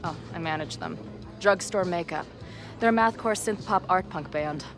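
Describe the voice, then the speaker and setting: A woman's voice: lowish voice, moderate pace, lightly acted. A woman playing a wry, glib young amateur sleuth in a video game, naturalistic in delivery. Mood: friendly. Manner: sardonic, glib, quippy. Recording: music under the voice.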